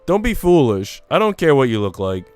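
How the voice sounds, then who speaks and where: A man's voice: medium pitch, big pitch swings, normal speed, darkish tone, clear, resonant tone, voice pushed up, natural voice. A man reading aloud a shy, prickly young maid from a visual novel, blunt and defensive, over music. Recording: music playing behind the voice.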